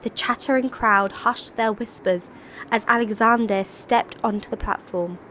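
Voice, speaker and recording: A woman's voice: a little high, unhurried, pushed and bright, slight background noise. A woman narrating a scripted, dramatic short story for an audio drama.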